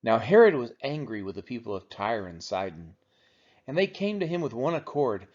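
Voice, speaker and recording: A man's voice: mid-range voice, big pitch swings, moderate pace, a little mellow. A man reading the Bible aloud as a narrator for a church read-along. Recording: dull, lo-fi sound.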